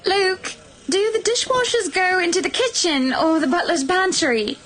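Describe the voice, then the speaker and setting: A woman playing a sweet, playful, wistful young wife in a visual novel, gentle and teasing. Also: very high voice, normal speed, slight background noise. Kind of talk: visual novel character acting. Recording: guitar music behind the voice.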